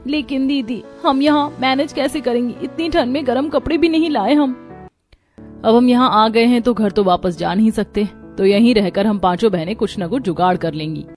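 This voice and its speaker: High-voiced young bride acted by a woman. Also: moderate pace, darkish tone, faint music behind, natural voice. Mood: resigned, worried. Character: young newlywed village brides, sisters, dramatic and expressive. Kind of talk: dramatized story dialogue.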